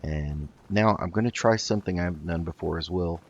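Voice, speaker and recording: A man's voice: sits low, a little fast, lo-fi sound. A man giving casual video game commentary, thinking aloud in a hesitant way as he plays. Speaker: host of a video game roleplay let's play.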